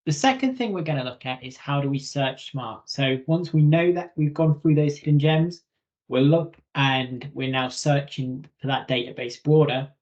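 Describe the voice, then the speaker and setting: A man giving an informal instructional webinar presentation as a software trainer. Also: on the high side, normal speed. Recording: slightly dull lo-fi webinar audio.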